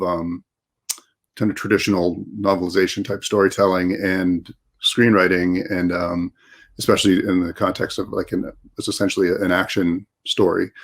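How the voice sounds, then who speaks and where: A man talking casually and unscripted as a panel guest, in a halting, thoughtful way. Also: low voice. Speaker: panel guest, writer and editor.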